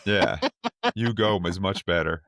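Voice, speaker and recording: A man's voice: deep voice, a little slow, fairly bright tone, no echo, studio quality. A man hosting a podcast, casually moderating a panel discussion and passing questions around. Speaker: podcast host.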